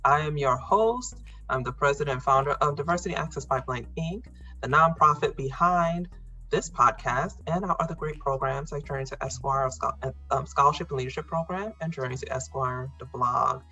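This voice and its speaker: A woman podcast host with a husky voice, giving scripted introductions and interview questions. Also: a bass voice, moderate pace, sharp tone, soft background music, low-quality recording.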